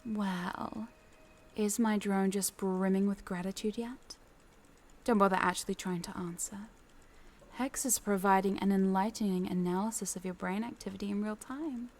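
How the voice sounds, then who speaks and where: A woman's voice: mid-range voice, steady tone, moderate pace, gravelly, darkish tone. A woman playing a smug, possessive corporate boss in a scripted audio roleplay, teasing and condescending, sometimes dropping into a whisper.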